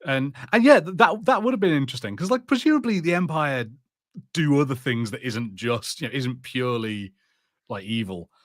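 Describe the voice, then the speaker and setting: A man's voice: mid-range voice, expressive, normal speed, fairly bright tone, dry recording, clean studio sound. A man co-hosting a podcast, chatting casually through a film and TV review in an exasperated, sardonic way. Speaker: podcast co-host.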